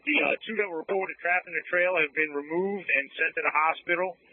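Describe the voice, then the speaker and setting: A man working as a fire crew officer, giving halting, procedural status reports over a two-way radio. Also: fairly high pitch. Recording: thin narrow-band scanner radio.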